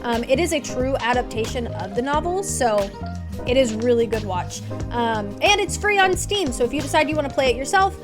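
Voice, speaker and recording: A woman's voice: sits high, expressive, fairly quick. A woman streamer giving a casual game playthrough, commenting and reading game text aloud. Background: music.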